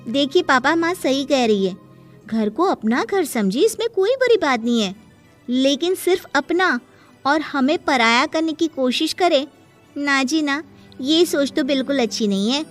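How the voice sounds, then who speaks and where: A woman's voice: sits high, lively intonation, measured pace, faint music behind. A woman voicing an outspoken young daughter of the house in a cartoon family drama, loyal to her mother. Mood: disapproving.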